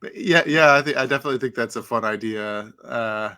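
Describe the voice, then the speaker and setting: A man's voice: mid-range voice, fairly quick, sharp tone. A man chatting informally as a podcast regular, thinking aloud and telling anecdotes. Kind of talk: casual chat, anecdotes.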